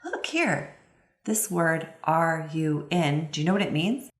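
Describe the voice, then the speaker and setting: Lowish woman explaining stuff like a reading teacher, expressive n emphatic, kinda echoey room. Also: unhurried. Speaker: instructor, literacy expert giving advice to parents. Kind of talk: instructional talk with expressive read-aloud examples.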